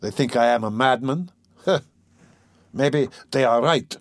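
A man's voice: medium pitch, takes it slowly, no echo, voice pushed up. A man playing an irritable, scheming young man, sardonic and brooding, in an audiobook of a classic novel. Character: irritable, scheming young former law student, mocking and anxious.

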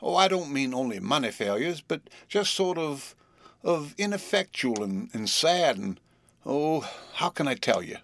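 A man voicing an affectionate, restless young woman from the South, with a Southern US drawl and an earnest, halting manner in a storybook reading. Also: fairly high pitch, big pitch swings, a bit bright, no room sound, pitched above the performer's own voice, exaggerated voice. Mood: wistful.

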